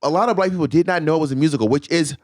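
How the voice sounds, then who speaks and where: A man in his thirties co-hosting a casual podcast, animated and laughing often, on a handheld mic in a studio. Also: a little high. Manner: animated, laughing, quick asides. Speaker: co-host. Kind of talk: casual chat. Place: pink studio set, indoors.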